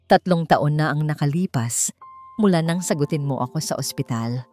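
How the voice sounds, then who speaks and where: A woman's voice: low-pitched, normal speed, darkish tone, natural voice. An earnest, tender husband in love , voiced by a woman storyteller, speaks seriously in a romance audio story. Faint music runs beneath the lines. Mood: serious, loving. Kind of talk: dramatic romance storytelling dialogue. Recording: music under the voice.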